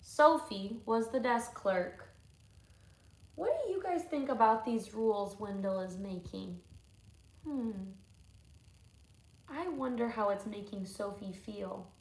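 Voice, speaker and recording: A woman's voice: mid-range voice, animated, slow pace, pauses often. A woman teacher reading a storybook aloud to children, with thoughtful questions and asides. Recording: echoey room with faint noise.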